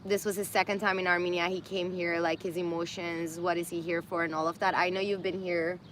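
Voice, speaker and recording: A woman's voice: lowish voice, level tone, brisk, a bit bright, slight background noise. A woman hosting a casual live stream, laughing often and losing her voice. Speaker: host of an Instagram live. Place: indoors in Armenia.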